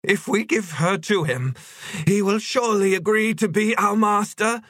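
A man voicing a fanatical, bony female creature in an audiobook, cold and ecstatic, with fervent, drawn-out delivery. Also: sits high, a bit bright, no echo, clean studio sound, voice pushed up. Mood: fervent longing.

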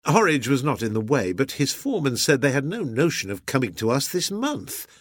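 A man's voice: a little high, big pitch swings, unhurried, fairly bright tone, husky, dry recording, studio quality, pitched above the performer's own voice, heavily acted. A man voicing a hearty, beaming sea captain in an audiobook, with an exuberant, bluff manner and old-fashioned nautical phrasing. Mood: slightly self-conscious.

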